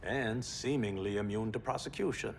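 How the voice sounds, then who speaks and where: An actor playing a pragmatic, frank male business advisor in a game cutscene, calm and reasoning. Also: on the low side, normal speed, vocal fry, a little hiss or hum. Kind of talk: video game cutscene acting.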